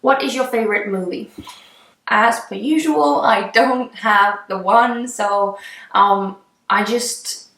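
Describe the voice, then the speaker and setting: A woman's voice: medium pitch, slow, fairly bright tone. A young woman from Estonia, a native Estonian speaker, chatting casually in a vlog where she answers questions about herself, gushing and rambling with fillers and trailing sentences. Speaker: beauty vlogger. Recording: echoey room.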